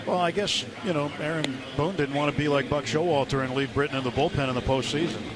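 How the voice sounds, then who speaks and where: A man's voice: on the high side, fairly even intonation, quick pace, a crowd in the background. A man giving conversational live color commentary on a baseball radio broadcast from a stadium booth. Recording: noisy outdoor stadium ambience.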